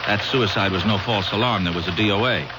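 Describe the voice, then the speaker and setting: An actor playing an earnest, suspicious police detective in an old-time radio drama, insistent and streetwise. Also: male voice, on the low side, fast talker, close to an everyday voice. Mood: businesslike. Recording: very noisy old radio recording.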